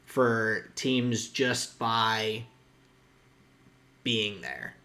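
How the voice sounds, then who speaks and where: Young man, podcast co-host, unhurried, rambling delivery. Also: on the low side, steady tone, bright, forceful tone. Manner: rambling, restarts often, guesstimates. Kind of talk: casual chat.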